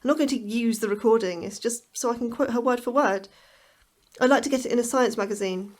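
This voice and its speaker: A high-voiced woman in an acted part plays an anxious astrobiologist in an audio drama. She is defensive and self-doubting, speaking in halting phrases that turn blunt. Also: darkish tone, close to an everyday voice. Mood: stubborn, indignant. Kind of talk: naturalistic audio drama acting.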